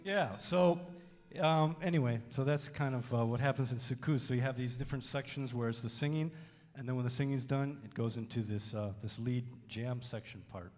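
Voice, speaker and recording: A man's voice: mid-range voice, normal speed, a little mellow. A man from Wisconsin, a music teacher and drummer, talking casually with lots of fillers at a college Q&A. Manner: casual, many fillers, friendly. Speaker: music faculty, band drummer. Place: college music hall, reverberant. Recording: reverberant hall.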